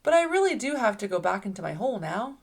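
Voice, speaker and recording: Woman as an animated, homebody storybook groundhog. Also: mid-range voice, fast, slight background noise. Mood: pleased, hesitant. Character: shy-at-first groundhog, friendly homebody of the burrow.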